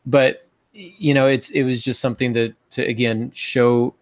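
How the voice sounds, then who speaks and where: A man's voice: mid-range voice, fairly even intonation, moderate pace, no room sound. An American man in his forties giving informal instruction as a podcast co-host on a video call, thinking aloud as he goes. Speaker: podcast co-host teaching fiction writing. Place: home office, on a video call.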